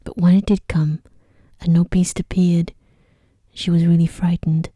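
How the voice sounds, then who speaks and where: A woman narrating an ASMR sleep story in a hushed, gentle voice that drifts into whispers. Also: on the low side, level tone, unhurried, no echo, clean studio sound. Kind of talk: soft-spoken ASMR bedtime story reading.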